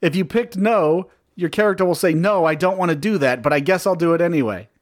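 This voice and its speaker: A man on a casual podcast reading a review aloud in a sardonic, deadpan way, swearing freely and breaking off for banter. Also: high voice, a little fast.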